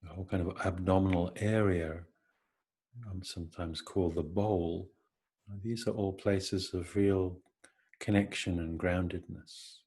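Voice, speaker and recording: A man leading an unscripted, reflective guided meditation, speaking gently in halting phrases with long pauses. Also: low-pitched, fairly even intonation, slightly rough.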